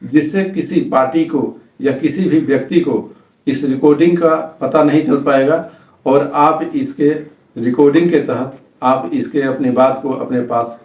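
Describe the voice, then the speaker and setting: A man with an Indian accent presenting a step-by-step tutorial as host, in halting, repetitive phrases. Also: medium pitch, a little mellow. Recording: echoey room, distant microphone.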